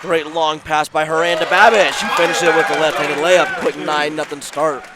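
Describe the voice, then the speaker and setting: A man doing play-by-play at a basketball game, his voice sore and worn from illness, calling the action in short brisk bursts. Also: fairly high pitch, level tone. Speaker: play-by-play announcer. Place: school gym courtside. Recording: loud crowd and gym noise behind.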